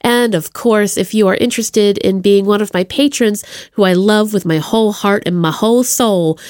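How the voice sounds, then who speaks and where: A woman's voice: mid-range voice, normal speed, a little mellow, dry recording, clean studio sound. A woman hosting a podcast in a chatty, effusive and playful way, addressing listeners directly. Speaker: podcast host. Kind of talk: chatty podcast introduction and plugs.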